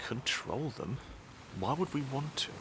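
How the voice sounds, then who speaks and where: A man's voice: mid-range voice, animated, measured pace, creaky, fairly bright tone, slight background noise. An actor playing a composed, sardonic middle-aged father and statesman in an audio drama, speaking formally and with dry wit. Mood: cool, wry.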